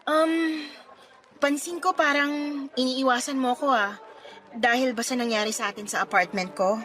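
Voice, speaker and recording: Hurt, high-voiced pregnant young Filipina. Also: female voice, fairly even intonation, normal speed, fairly bright tone, soft background music, poor audio. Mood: hesitant, awkward. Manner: emotional, pleading and reproachful. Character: hurt, pregnant young woman confronting her friend. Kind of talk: radio drama acting in Tagalog.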